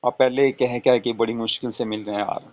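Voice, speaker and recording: A man's voice: mid-range voice, fairly even intonation, quick pace, no echo, close to an everyday voice. A man playing an indulgent middle-aged father, talking casually and coaxingly in a staged phone conversation. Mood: casual, explaining. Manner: coaxing, reassuring, repetitive. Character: soothing, indulgent father of a married daughter. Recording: tinny, narrow phone-call audio.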